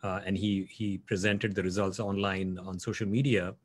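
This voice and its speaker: A man's voice: low-pitched, level tone, moderate pace, husky. A man in his forties hosting a video call interview, earnest, with frequent "you know". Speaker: host. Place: home office.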